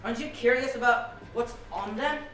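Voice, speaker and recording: A woman's voice: fairly high pitch, normal speed, a bit bright, natural voice. A woman playing a restless worker who questions the drudgery, with theatrical, probing delivery in an amateur stage play. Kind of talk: amateur stage one-act play, absurdist dialogue. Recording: very echoey hall, distant mic, faint noise.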